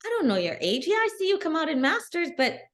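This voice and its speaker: A middle-aged woman, a guest on an interview podcast, telling her story in an earnest, animated way. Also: high voice, fast talker. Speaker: guest, bikini athlete. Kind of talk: casual interview.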